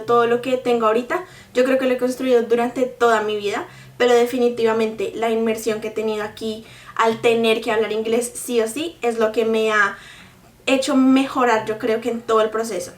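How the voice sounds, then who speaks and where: A woman's voice: a little high, flat delivery, a little fast, recorded from a distance. A young woman in her twenties, speaking to her viewers casually and earnestly about her own experience. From Colombia. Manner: earnest, explanatory, direct address to viewers. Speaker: vlogger. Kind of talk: casual talk to camera. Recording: roomy, mic set back from speaker.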